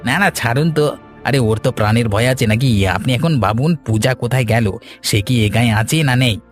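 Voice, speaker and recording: A man's voice: medium pitch, moderate pace, soft background music, close to an everyday voice. Man playing a sly, servile village servant. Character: scheming, outwardly servile male servant of village master. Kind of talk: cartoon voice acting.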